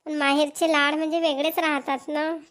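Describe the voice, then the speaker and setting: A woman's voice: sits high, little pitch movement, normal speed, fairly bright tone. A woman voicing a chatty village wife in a family cartoon, with a Varhadi Marathi accent and a plain, homely manner. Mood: happy, chatty. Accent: Varhadi Marathi, Vidarbha.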